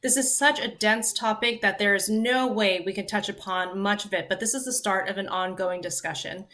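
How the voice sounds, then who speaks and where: A woman hosts a podcast with a bright, crisp voice. She is polite and reads partly scripted introductions and questions. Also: medium pitch, steady tone, moderate pace. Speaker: podcast host. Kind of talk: podcast hosting.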